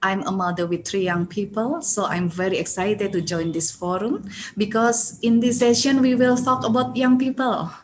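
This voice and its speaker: A woman's voice: mid-range voice, fairly even intonation, normal speed, few pauses, poor audio. A middle-aged woman from Indonesia with an Indonesian accent, a native Indonesian speaker, giving a prepared talk as a panel speaker. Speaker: panel speaker, community founder. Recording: faint background noise.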